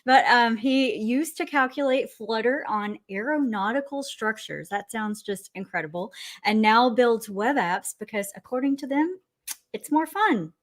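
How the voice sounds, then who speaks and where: A woman's voice: fairly high pitch, lively intonation, a little slow. A woman co-hosting a live conference stream, chatting casually and giggling between talks. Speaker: event co-host.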